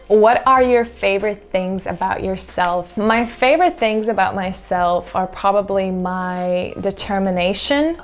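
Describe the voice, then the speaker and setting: Twenty-something girl vlogging, chatty, thinks out loud, faint music under her. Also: medium pitch. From Latvia. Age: 20s. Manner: chatty and reflective, laughs at herself. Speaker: YouTuber. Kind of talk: casual get-to-know-me vlog.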